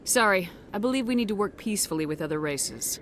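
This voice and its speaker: An actress playing a principled female military commander in a video game, speaking in a firm, measured, direct way. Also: medium pitch, lively intonation, fairly bright tone, slight background noise, natural voice. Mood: composed, diplomatic. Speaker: player character. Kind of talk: video game dramatic voice acting.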